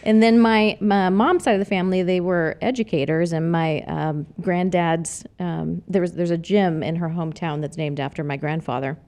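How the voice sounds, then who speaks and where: A woman's voice: lowish voice, steady tone, normal speed, hardly any pauses, a little mellow. A middle-aged woman with a slight Texas accent, a political candidate talking earnestly in a casual podcast conversation, with many fillers and restarts. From New Mexico, raised in Arizona.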